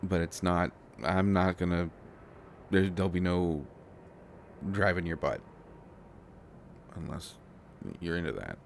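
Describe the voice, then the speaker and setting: A man acting a shy, flustered, devoted inventor boyfriend in an intimate roleplay audio drama, talking in halting, stammering rambles. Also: deep voice, creaky, a little hiss or hum, exaggerated voice. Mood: flustered, embarrassed.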